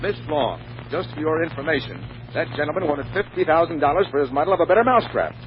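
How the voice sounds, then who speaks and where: An actor playing an irascible, exasperated Wall Street brokerage boss, curt, emphatic and sarcastic, in 1940s radio comedy style. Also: male voice, fairly high pitch, lively intonation, fast talker, natural voice. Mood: stern. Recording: muffled, noisy old radio broadcast.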